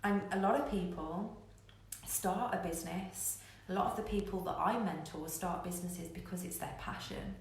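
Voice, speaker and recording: A woman's voice: lowish voice, moderate pace. A woman giving a candid live coaching talk to online viewers, emphatic and repeating phrases to drive points home. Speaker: business coach hosting a live online show. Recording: very echoey room, faint noise.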